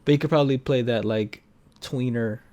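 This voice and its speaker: A man's voice: mid-range voice, moderate pace, a little mellow. A man co-hosting a film review podcast in casual, blunt, slangy conversation. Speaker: podcast co-host reviewing films. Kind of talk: casual chat.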